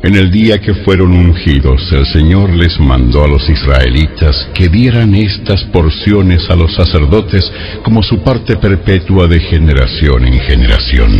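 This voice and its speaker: A man narrating a dramatized Bible in a solemn, measured storytelling style. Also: low-pitched, expressive, muffled recording, music in the background.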